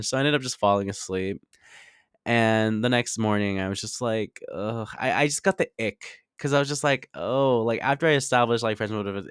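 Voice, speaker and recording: Chatty young man, smooth low-pitched voice. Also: a bit bright, no room sound, studio quality. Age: 20s. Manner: chatty, informal, frequent "like" and swearing. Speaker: podcast host. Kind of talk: casual confessional storytelling.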